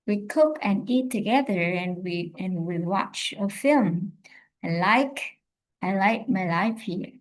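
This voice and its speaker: Woman teaching english on a live stream, slow and halting, lots of ums, mid-pitched. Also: lively intonation, poor audio. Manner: slow, hesitant, many fillers and self-corrections. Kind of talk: live-streamed language lesson.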